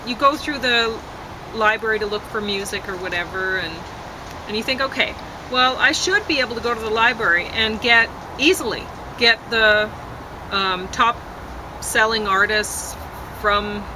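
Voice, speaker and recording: A woman chatting casually, hesitant and rambling, often trailing off mid-thought. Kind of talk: casual chat. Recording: constant traffic and outdoor rumble noise.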